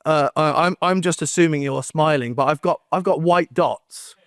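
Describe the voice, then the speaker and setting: Wry, halting male conference speaker, dry sound. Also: a little high, a bit bright, dry recording. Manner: self-deprecating, wry, halting delivery. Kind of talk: academic conference talk with joking asides. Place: conference room, speaking standing before an audience.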